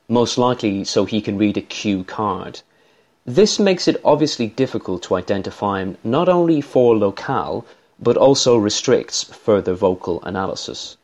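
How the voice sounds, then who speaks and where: Irish man, low-pitched, formal and measured, analyst reading a prepared commentary.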